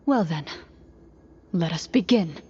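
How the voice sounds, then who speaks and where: A woman playing a composed young hunter who narrates as game master in a formal, measured, dramatic style. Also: lowish voice, expressive, warm tone, a little hiss or hum. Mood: solemn, measured. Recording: muffled game audio with background music.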